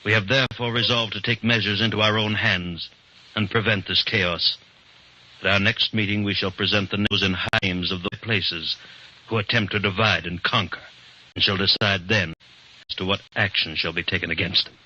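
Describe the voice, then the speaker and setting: Low, hard-edged male leader, resolute and declamatory, formal speech-making for an old radio drama, slightly dull recording. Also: fairly even intonation, moderate pace, pushed and bright, exaggerated voice. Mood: grave, urgent. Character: grave, resolute male leader of secret scientists. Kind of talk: 1940s radio acting.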